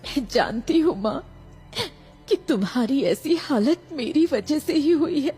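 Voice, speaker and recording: A woman playing a caring, remorseful young mother in a cartoon horror story, with an earnest, gentle, emotional delivery. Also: sits high, lively intonation, measured pace. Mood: remorseful, tender. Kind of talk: animated horror story acting. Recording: background music.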